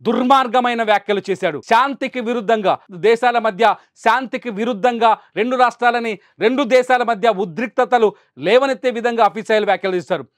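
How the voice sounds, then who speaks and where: A man's voice: very high-pitched, brisk, no room sound. A man presenting news commentary emphatically, repeating phrases for rhetorical weight.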